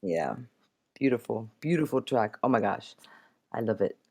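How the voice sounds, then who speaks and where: A woman co-hosting a radio show in casual chat, speaking affectionately and haltingly. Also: very deep voice, expressive, vocal fry, a little mellow.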